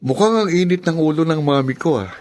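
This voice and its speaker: Actor as a higher-pitched, sly young schemer. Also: male voice, lively intonation, a little fast, darkish tone, lightly acted. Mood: sly, conspiratorial. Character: sly young adult son, scheming alongside his mother. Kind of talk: radio drama acting.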